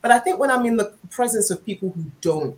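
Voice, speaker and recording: A Nigerian woman whose first language is Yoruba, chatting on an online panel and telling stories in an animated, laughing way. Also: fairly high pitch, quick pace. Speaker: panel guest. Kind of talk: casual group chat online.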